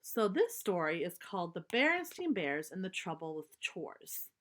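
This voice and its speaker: Lady doing kids story time, pitch goes up and down a lot, stops to explain words simply. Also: sing-song, moderate pace, a bit bright. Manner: explains simply to young children, emphatic. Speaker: children's storybook reader. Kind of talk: expressive read-aloud with simple asides explaining words.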